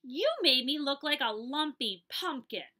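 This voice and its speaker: Storybook girl pig, played by a woman reader: bright, indignant, drawn-out and emphatic. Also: sits high, lively intonation, voice pushed up, exaggerated voice. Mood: indignant, hurt. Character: indignant, affectionate girl pig in a picture book.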